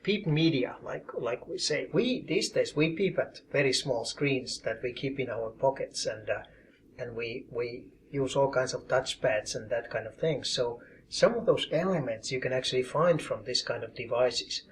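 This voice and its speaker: A man from Finland, a professor calmly explaining and demonstrating his collection in his office in an interview. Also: mid-range voice, moderate pace, slight background noise. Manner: calm, explanatory, demonstrating objects as he talks.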